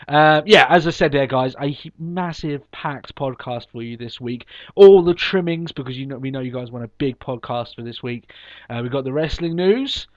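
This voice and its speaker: A man's voice: mid-range voice, normal speed. A man with a cold, hosting a podcast and chatting casually in an upbeat, rambling way with lots of ums and restarts. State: sniffly from a cold. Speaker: podcast host. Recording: dull lo-fi podcast recording.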